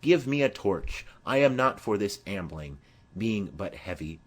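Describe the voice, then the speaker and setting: Heavy-hearted young lover for a Shakespeare audiobook, played by a man, mournful and poetic, slow, with wide melodic swings. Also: on the low side, big pitch swings. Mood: gloomy. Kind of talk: dramatic Shakespeare audiobook reading.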